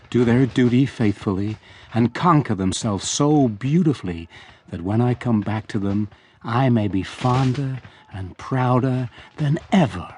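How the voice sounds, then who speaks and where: A middle-aged actor playing a loving, earnest father who voices his letter home in a radio drama, with a husky tone. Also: medium pitch, darkish tone. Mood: tender, earnest.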